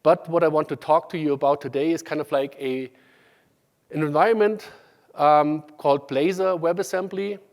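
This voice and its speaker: A man from Germany with a German accent, giving a conference tech talk, halting with many ums and restarts. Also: on the high side, fairly even intonation, a little mellow. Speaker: conference speaker. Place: large conference hall. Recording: hall reverb.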